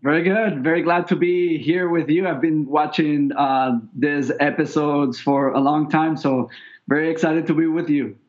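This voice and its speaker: Higher-pitched Venezuelan-accented man, slightly muffled. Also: steady tone, moderate pace. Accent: Venezuelan Spanish. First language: Spanish. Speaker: company founder, interview guest. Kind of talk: conversational explanation in an interview.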